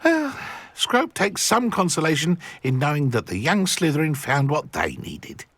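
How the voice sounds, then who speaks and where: Husky little house elf. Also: male voice, on the high side, big pitch swings, unhurried, a bit bright, dry recording, full character voice. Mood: wistful, resigned. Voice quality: raspy. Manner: servile, plaintive, refers to self by name. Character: small servile male house elf, speaks in third person. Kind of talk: video game character acting.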